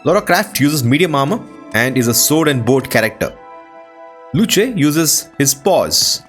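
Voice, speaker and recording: Man narrating a game, very varied pitch. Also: sing-song, measured pace, fairly bright tone. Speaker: gaming video narrator. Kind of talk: scripted game playthrough narration. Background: music.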